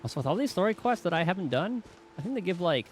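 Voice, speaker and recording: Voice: mid-range voice, sing-song, fast talker. A streamer giving casual, offhand commentary while playing a video game. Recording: loud game music behind the voice. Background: loud game music.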